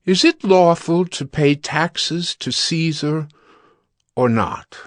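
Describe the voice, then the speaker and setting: An actor playing a scheming male Pharisee envoy who speaks with slow, smooth, feigned politeness. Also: on the high side, dry recording. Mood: flattering.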